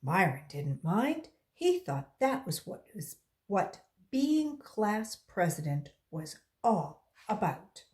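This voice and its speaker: A woman reading a children's story aloud in an expressive, deliberate storytelling way. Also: medium pitch. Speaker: volunteer storybook reader.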